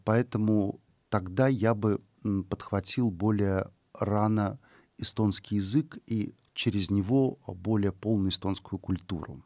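A middle-aged man giving measured, thoughtful interview answers. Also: lowish voice, darkish tone, no echo. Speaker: guest.

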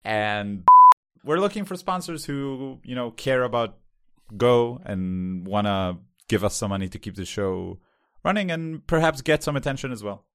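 A man from Israel with an Israeli accent, co-hosting a casual podcast and talking in a halting way with many fillers and restarts. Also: medium pitch, animated, clean tone. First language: Hebrew. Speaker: podcast co-host.

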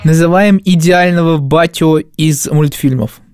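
A man's voice: fairly high pitch, measured pace, loud music behind the voice. A man co-hosting a podcast in casual chat, speaking informally with plenty of slang. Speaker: podcast co-host.

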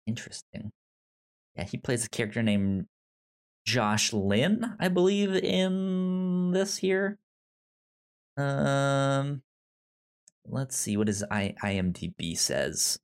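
A man co-hosting a casual podcast chat, pitching movies with many stammered restarts and fillers. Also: expressive, slow pace, long pauses, clear, resonant tone. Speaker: podcast co-host.

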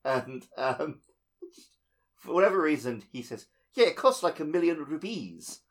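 A man's voice: on the high side, sing-song, unhurried. A man co-hosting a podcast, chatting casually and telling little stories in a playful, jokey way. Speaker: podcast co-host. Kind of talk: casual chat, telling anecdotes.

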